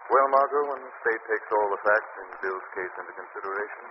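An actor playing a steady, authoritative crime-fighting hero in a 1940s radio drama. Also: male voice, fairly low pitch, fairly quick, close to an everyday voice. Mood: calm. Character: calm, commanding male crime-fighting hero. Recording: lo-fi old radio broadcast with hiss.

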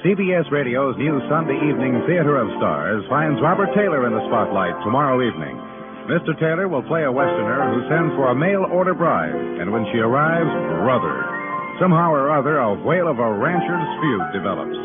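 A man's voice: mid-range voice, big pitch swings, fairly quick. A man with an American accent giving polished, formal scripted announcements in the style of 1950s network radio. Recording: tinny old radio broadcast, loud music. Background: loud music.

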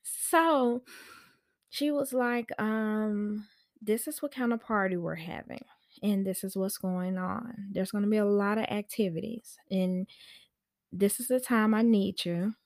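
Female podcast storyteller, medium pitch, informal and animated, recorded dry. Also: a little slow, no room sound. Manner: animated, chatty, acts out quoted conversations; informal slangy speech. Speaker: podcast host and nanny. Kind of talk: casual personal storytelling on a podcast.

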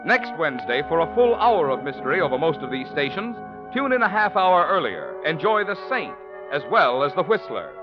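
A man's voice: fairly high pitch, very animated, moderate pace. A male announcer in polished 1940s radio style, reading formally. His intonation swings widely. Faint music sits behind him on a dull, vintage recording.

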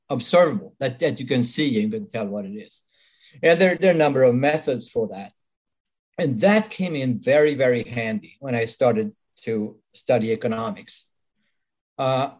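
A man's voice: mid-range voice, a little mellow, slightly rough. Older Swedish-accented man, thoughtful. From Sweden. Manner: thoughtful, frequent restarts and pauses. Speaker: guest. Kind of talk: interview answers.

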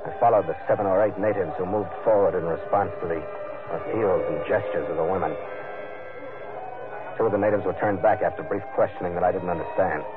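An actor playing a hard-boiled, stubborn sea captain in an old radio adventure, terse, brooding and matter-of-fact. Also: male voice, sits low, fairly quick, close to an everyday voice. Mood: tense, watchful. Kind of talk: old-time radio adventure narration and acting. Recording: narrow-band old radio recording under loud music.

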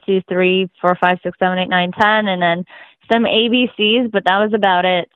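A woman's voice: medium pitch, dry recording. A young woman from Russia, a guest in a casual interview, talking in an easygoing, chatty way and laughing often. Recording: dry, tinny phone-like line.

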